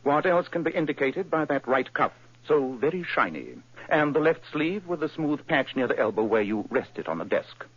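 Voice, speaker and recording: A cool, brilliant detective who lectures in a dry, precise voice in a vintage radio play. His pitch sits fairly high and moves a lot, with a husky grain. The recording is dull and lo-fi. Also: very animated, normal speed, a bit bright, dry recording, exaggerated voice. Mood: deducing. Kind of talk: old-time radio drama.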